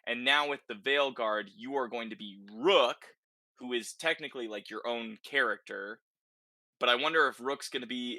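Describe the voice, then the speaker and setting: A man's voice: medium pitch, sharp tone, no room sound, studio quality. A man hosting a casual, conversational podcast discussion about video games, often checking in with short tag questions. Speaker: podcast host.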